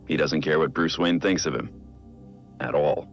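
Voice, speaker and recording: A man acting an earnest, brooding vigilante in a video game audio log, speaking in a measured, reflective, confiding way with a gravelly, very deep voice. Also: steady tone. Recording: dull game audio with music behind.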